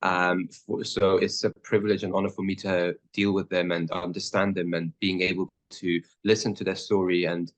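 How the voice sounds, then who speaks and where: Low-pitched male lawyer in his thirties, halting and earnest, lots of ums. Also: little pitch movement. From born in Pakistan, raised in the Netherlands. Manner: hesitant, many fillers and restarts. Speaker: lawyer guest. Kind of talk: interview answers.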